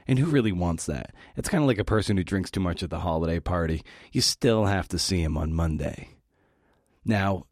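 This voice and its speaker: A man's voice: low voice, a little mellow, no echo, studio quality. A man hosting a podcast, giving a conversational scripted talk with wry, enthusiastic asides.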